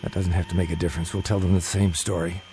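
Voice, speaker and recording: An actor in a radio drama playing a calm, careful married father who is secretly plotting, with a guarded manner. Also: low-pitched, quick pace, a little hiss or hum. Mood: guarded, secretive.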